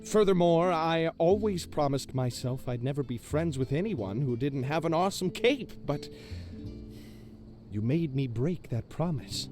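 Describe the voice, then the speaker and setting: An actor playing a pompous, boastful self-styled hero in a video game, with grandiose, drawn-out delivery and insecurity underneath. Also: male voice, a little high, expressive, a little mellow, soft background music. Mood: solemn, confiding. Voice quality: theatrical, exaggerated delivery. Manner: grandiose, mock-heroic, drawn-out emphatic phrasing.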